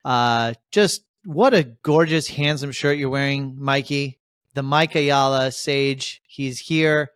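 A man hosting a casual podcast, upbeat and rambling, with lots of shout-outs. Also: medium pitch, slow pace, clear, resonant tone, dry recording, clean studio sound. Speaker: podcast host.

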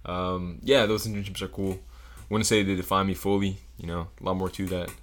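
A young man from South Florida with a very deep, low voice guests on a casual podcast. He talks thoughtfully and hesitantly, filling pauses with ums as he works out what to say. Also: little pitch movement. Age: young adult. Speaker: podcast guest, engineering student.

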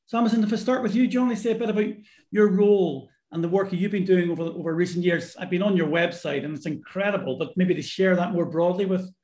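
A hoarse man co-hosting a podcast, welcoming guests and often repeating his words. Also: high-pitched, fast talker, hardly any pauses, echoey room. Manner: warm, repeats words, restarts. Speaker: podcast co-host, psychology professor. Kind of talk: podcast interview.

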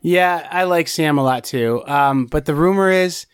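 A man's voice: fairly high pitch, measured pace, clear, resonant tone, no echo, studio quality. A man co-hosting a podcast, chatting casually and rambling with frequent restarts. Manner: rambling, self-correcting, chatty. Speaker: podcast co-host. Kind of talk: casual chat.

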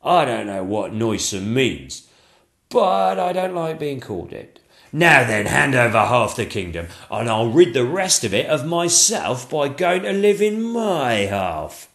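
Figure: a man playing a boastful storybook dragon. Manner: pompous, slow threats. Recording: roomy echo. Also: medium pitch, big pitch swings, creaky, fairly bright tone, voice pushed up, exaggerated voice. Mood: blustering. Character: boastful crafty dragon, a witch in disguise.

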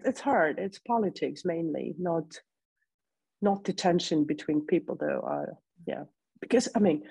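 Woman, gravelly voice, hesitant with restarts, interview guest. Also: on the low side, dark tone, no echo. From Ukraine. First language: Russian. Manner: hesitant, many restarts and fillers. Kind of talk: interview conversation.

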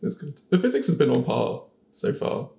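A man's voice: a little high, big pitch swings, fairly quick, gravelly, dark tone. A man chatting casually and playfully as a podcast guest, in an echoey room. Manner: playful, joking. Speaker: cast member on a Q&A podcast. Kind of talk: casual chat. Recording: roomy, echoey sound.

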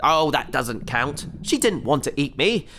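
A man's voice: on the high side, big pitch swings, unhurried, sharp tone, slight background noise, exaggerated voice. An actor playing a naive, cheerful young man trapped in an alien's fish tank, rambling and talking his way around trouble in a sci-fi audio drama. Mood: breezy. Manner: naive, breezy, rambling and self-justifying.

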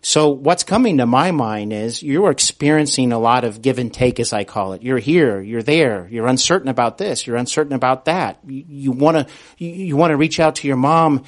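A man hosting an interview in a conversational, courteous way, with deliberate, halting phrasing. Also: mid-range voice. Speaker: podcast host, interviewer.

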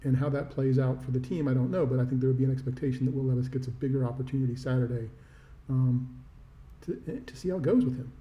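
A man's voice: mid-range voice, fairly even intonation, quick pace, dark tone. A man co-hosting a sports podcast, giving casual commentary in an echoey, roomy recording. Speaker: podcast co-host.

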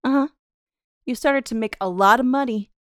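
A woman's voice: a little high, normal speed, no room sound, clean studio sound, natural voice. A woman playing a scornful, taunting gold-digging wife in a theatrical reading of a 1930s radio melodrama, with slangy delivery. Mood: mocking scorn. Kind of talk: 1930s radio horror melodrama table read.

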